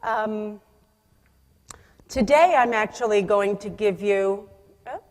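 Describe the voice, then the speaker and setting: Woman conference speaker, loud and emphatic, restarts often, mid pitch, echoing hall. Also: slow, a little hiss or hum. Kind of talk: conference keynote presentation. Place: conference room. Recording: long hall reverb.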